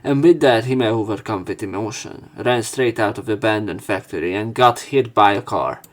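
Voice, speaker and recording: A man's voice: lowish voice, normal speed. Sweary man doing game commentary. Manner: sweary, sarcastic. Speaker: let's play host. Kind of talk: casual game commentary, reading dialogue aloud.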